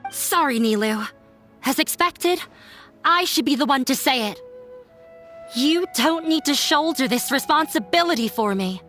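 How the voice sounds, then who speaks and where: A woman's voice: high voice, expressive, unhurried, a bit bright, faint music behind. A woman voicing an earnest, resolute young woman standing up to her father. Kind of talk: video game voice acting.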